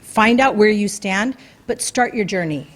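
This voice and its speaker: A woman's voice: mid-range voice, a little slow. A woman giving an earnest, conversational talk about her own experience at a community event, with fillers and restarts. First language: English. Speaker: speaker at a community talk night. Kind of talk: personal story talk. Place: event venue before an audience.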